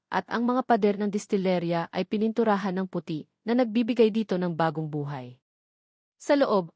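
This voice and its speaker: A woman with a Filipino accent reads a scripted romance story as narrator, with measured, expressive dramatic delivery. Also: medium pitch, no room sound, studio quality.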